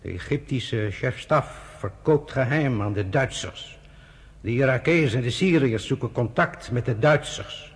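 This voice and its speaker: An actor in a radio play, playing a pragmatic, avuncular senior British official with a husky, urbane, confiding manner. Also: male voice, mid-range voice, a little slow. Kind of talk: Dutch radio play acting.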